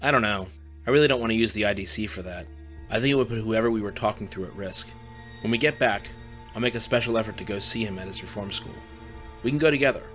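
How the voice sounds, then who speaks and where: A man voicing a weary young student in an audio drama, low-voiced and quick. He talks bluntly, clipped and worn out, over soft music. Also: natural voice. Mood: tired. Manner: blunt, swears when tired. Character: young male student with magic powers, weary.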